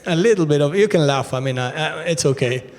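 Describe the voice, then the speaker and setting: A man in his fifties with an Argentine accent gives a keynote to a conference crowd. He speaks in a loose, conversational way, full of fillers and restarts. His voice sits a little high, and it carries a long reverb from the big hall. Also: brisk. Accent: Argentine Spanish. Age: 50s. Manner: conversational, many fillers and restarts, addresses audience directly. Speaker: retail executive keynote speaker.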